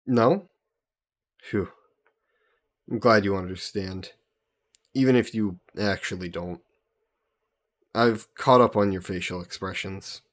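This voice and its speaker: Man playing a slow-talking, friendly fantasy hero. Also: fairly low pitch. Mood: eager explaining. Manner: conversational, patiently explaining in simple terms. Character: friendly human hero from Earth, patient and eager. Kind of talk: roleplay audio drama, one-sided conversation with a listener.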